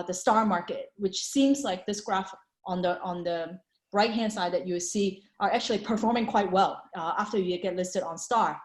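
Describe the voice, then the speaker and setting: A woman talks through her slides on a video call, chatty and loose, full of fillers and restarts. Her voice sits mid-range, and a roomy echo hangs around it. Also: medium pitch. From Hong Kong. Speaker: venture capital panelist. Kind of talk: presenting slides in an online panel.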